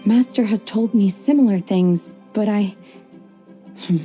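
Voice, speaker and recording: A woman voicing a calm, polite, aloof young woman warrior who speaks in a measured way in a game cutscene. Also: medium pitch, soft background music, close to an everyday voice.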